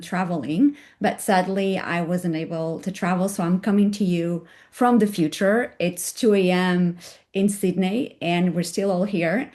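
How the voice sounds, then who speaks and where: A woman giving an academic talk over a video call, her voice raspy from a cold, speaking with some fillers. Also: lowish voice, darkish tone. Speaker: guest speaker. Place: home at night, joining remotely.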